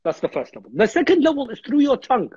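Husky man, thirties, from Iraq, earnest guest speaker, repeats words, dull dry video call sound. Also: extremely high pitch, wildly swooping pitch, very fast, a little mellow, no echo. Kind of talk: religious discussion. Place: home room on a video call.

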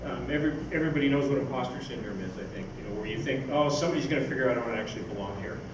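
A man's voice: mid-range voice, very fast. An older man giving an informal, rambling, anecdotal talk on stage, answering questions from an audience. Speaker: speaker, science fiction writer. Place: on stage in an event hall. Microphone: far camera microphone. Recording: echoey hall from far off, noisy.

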